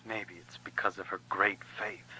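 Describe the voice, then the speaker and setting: A man playing a sly, smooth-tongued cult priest in a video game, with a measured, probing, insinuating manner. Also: low voice, level tone, pushed and bright, full character voice, low-quality recording. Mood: guarded, insinuating.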